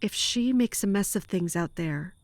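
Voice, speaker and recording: A woman playing a weary, guarded castle commander in an audio drama, with a restrained, measured and terse way of talking. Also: mid-range voice, expressive, no echo, close to an everyday voice. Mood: worried, brooding.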